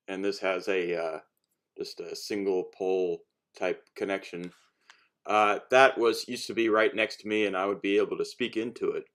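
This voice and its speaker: A man giving a casual how-to talk as a hobbyist showing homemade gear, rambling and hesitant, often breaking off to restart. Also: fairly low pitch. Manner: rambling, hesitant, self-interrupting.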